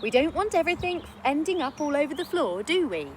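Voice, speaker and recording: A woman's voice: sits high, big pitch swings, brisk, a little hiss or hum, voice pushed up. A woman voicing a kindly, patient mother in a children's storybook. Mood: cheerful, kindly.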